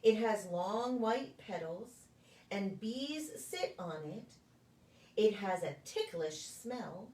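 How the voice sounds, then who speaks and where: Slow, lilting woman reading to young children. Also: medium pitch, very animated, a little mellow. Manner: deliberate, sing-song storytelling for young children. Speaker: pastor reading stories to preschool children. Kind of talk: read-aloud children's picture book. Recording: echoey room, distant microphone.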